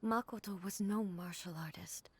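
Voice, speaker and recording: A woman playing a solemn, regal, grieving female god in a video game, speaking softly in formal, measured, restrained phrases. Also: medium pitch, slight background noise, close to an everyday voice. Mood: somber, grieving. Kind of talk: scripted game dialogue.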